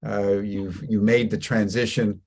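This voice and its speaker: Man, interview host, lowish voice, halting with lots of fillers. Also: little pitch movement, darkish tone. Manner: hesitant, with many fillers. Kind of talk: interview questions.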